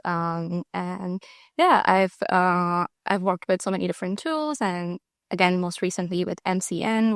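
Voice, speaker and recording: Young woman co-host, kinda creaky, lots of um and like, dry sound. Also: lowish voice, dry recording. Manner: hesitant, many ums and likes. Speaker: co-host, marketing ops. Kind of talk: casual work chat.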